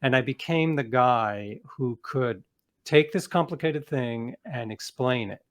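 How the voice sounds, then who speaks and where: Male interview guest, mid-pitched, self-assured storyteller, reflective and conversational, slips into quoting his own thoughts. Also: measured pace. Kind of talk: conversational interview, personal anecdotes.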